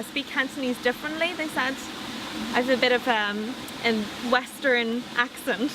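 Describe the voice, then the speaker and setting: A young woman answers interview questions thoughtfully, pausing with ums. Her voice sits somewhat high, and steady background noise runs under it. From Ireland. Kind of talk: casual interview talk.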